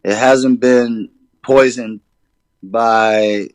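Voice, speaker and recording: A man from Sudan, a rapper guest, talking in a relaxed, casual way with hip hop slang. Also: mid-range voice, very slow, no echo. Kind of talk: casual interview chat.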